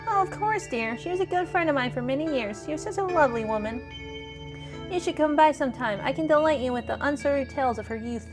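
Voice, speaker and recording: Woman voicing a kindly older small-town spiritualist lady, high-pitched. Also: expressive, quick pace, music in the background. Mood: warm, welcoming. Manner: genteel, folksy, fond endearments.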